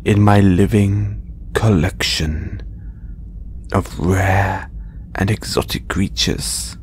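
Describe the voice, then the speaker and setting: A man playing a condescending, possessive alien trophy hunter in an audio roleplay, speaking deliberately in breathy half-whispers with long pauses. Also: sits low, fairly even intonation, a little hiss or hum. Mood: smug, menacing. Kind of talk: slow audio roleplay monologue to listener.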